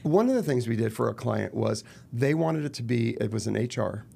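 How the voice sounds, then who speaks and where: A man's voice: medium pitch, fairly quick, vocal fry, slightly rough. A middle-aged man talking animatedly as a guest in a podcast studio, speaking into a desk mic. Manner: animated, gestures a lot while talking. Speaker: guest, murder mystery company founder. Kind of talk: casual podcast interview. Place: purple-lit podcast studio. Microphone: desk mic on boom arm.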